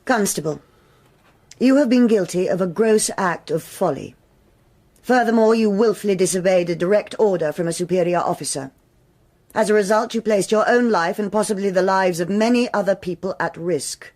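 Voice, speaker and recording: An actress playing a stern, authoritative police superintendent who speaks in a measured, formal way. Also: female voice, medium pitch, a little mellow, natural voice. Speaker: senior police officer. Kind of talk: radio crime drama acting.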